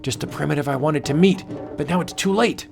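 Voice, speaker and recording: A man voicing an excitable, determined explorer anthropologist in a melodramatic comic book audiobook. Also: a little high, fairly quick, vocal fry, soft background music, voice pushed up. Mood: uneasy.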